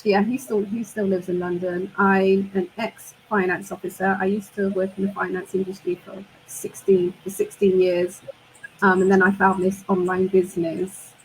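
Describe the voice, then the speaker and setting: A woman's voice: medium pitch, level tone, normal speed, a little hiss or hum, poor audio. A woman in her fifties from the United Kingdom, co-hosting a livestream chat in an upbeat way and leaning on repeated words for emphasis. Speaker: co-host and online business mentor.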